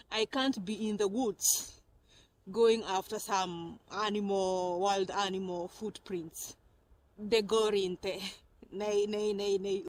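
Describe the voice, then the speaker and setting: A Kenyan woman vlogging on her phone as she walks through a cold forest outdoors, chatty and laughing often. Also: mid-range voice. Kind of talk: casual walking vlog. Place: snowy forest outdoors in winter. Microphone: phone camera.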